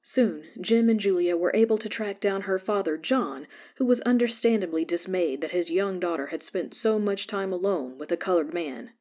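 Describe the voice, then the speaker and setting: A woman playing a radio newscaster who reads a disaster report in a measured, formal way. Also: lowish voice, darkish tone, lo-fi sound, close to an everyday voice. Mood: sober, formal. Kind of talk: scripted news report in audio drama.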